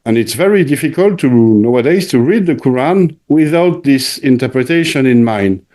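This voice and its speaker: A man hosting an interview, speaking hesitantly with many pauses and restarts. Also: medium pitch, animated, warm tone. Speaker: interview host. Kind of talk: interview conversation.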